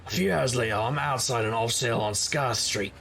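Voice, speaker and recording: An actor playing a wry, sardonic supernatural private investigator who narrates in conversational noir style. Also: male voice, mid-range voice, a little fast, creaky, a bit bright, slight background noise. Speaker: narrator and lead character. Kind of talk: noir first-person scripted narration.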